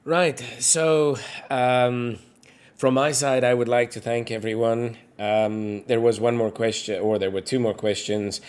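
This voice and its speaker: A man's voice: mid-range voice, fairly even intonation, unhurried, darkish tone, smooth. A man hosting a livestream Q&A, chatty and hesitant, with many fillers. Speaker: livestream co-host. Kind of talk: casual livestream Q&A.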